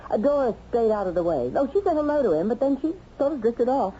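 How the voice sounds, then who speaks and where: A woman's voice: a little high, sing-song, fast, dark tone, breathy edge. An American actress playing a chatty, flustered, plainspoken working woman under questioning in a radio mystery drama. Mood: chatty, recalling. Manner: hesitant, exclamatory, gossipy. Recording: dull, noisy old radio recording.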